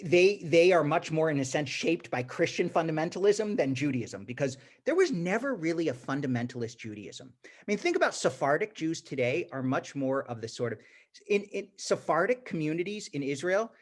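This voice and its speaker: A teacher with an emphatic manner and many restarts, giving an informal lecture to a class over a video call. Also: medium pitch. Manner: animated, emphatic, frequent restarts. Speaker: teacher leading a congregation class.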